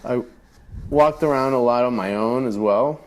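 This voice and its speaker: A man's voice: mid-range voice, moderate pace, a little hiss or hum, low-quality recording. A man on a podcast, chatting casually and rambling with many fillers, a bit congested from a cold. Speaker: podcast co-host. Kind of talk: casual chat.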